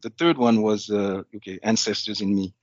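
A man from Belgium telling his life story in an interview. He pauses often and restarts his phrases as he thinks. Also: lowish voice, steady tone, darkish tone, no echo. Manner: thoughtful, with frequent restarts and asides. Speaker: guest. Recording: dull, slightly muffled audio.

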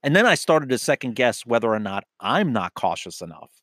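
A man's voice: mid-range voice, sing-song, fairly quick, a bit bright, no room sound, clean studio sound. A man, a police officer hosting a podcast, rambling and correcting himself, swearing and laughing. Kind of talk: casual profane chat.

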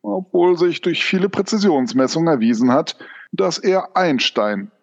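Man acting a scholarly giraffe on fire, higher-pitched, hesitant lecturer, surreal radio play, slightly muffled lo-fi sound. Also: lively intonation, normal speed, creaky. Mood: musing. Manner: hesitant, pedantic, professorial. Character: burning giraffe, pedantic scholarly lecturer, unhurried and philosophical. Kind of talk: surreal audio drama acting.

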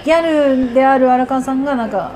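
A woman co-host talks quickly in a casual, joking chat. Her voice sits a little high and moves freely up and down. She pokes fun at herself as she goes, with people audibly chattering behind her. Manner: animated, self-deprecating joking. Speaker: podcast co-host and radio presenter. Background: crowd noise of an eatery.

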